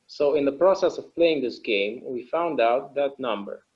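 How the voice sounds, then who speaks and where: A weary, wistful man played by a male actor talks in a halting, everyday way, as in an intimate stage drama. His mid-pitched voice comes through a slightly dull recording. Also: expressive, darkish tone, lightly acted. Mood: wistful, relieved. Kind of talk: conversational table-read acting.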